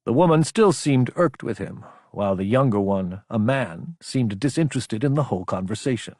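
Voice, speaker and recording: A man's voice: medium pitch, expressive, normal speed, a little mellow, no room sound, clean studio sound. A man reading an audiobook as dramatic scripted narration.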